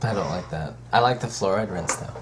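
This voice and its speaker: Deep-voiced joking teenage guy. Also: a little fast, background noise. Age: teens. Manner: playful, jokey, swings from mock voices to whispers. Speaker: co-host friend. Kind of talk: casual chat. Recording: faint room ambience.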